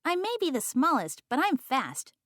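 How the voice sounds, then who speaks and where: A woman voicing an eager, stubborn little boy mouse in a children's picture-book read-aloud, boastful and excitable. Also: high-pitched, moderate pace, no room sound, studio quality, voice pushed up, full character voice.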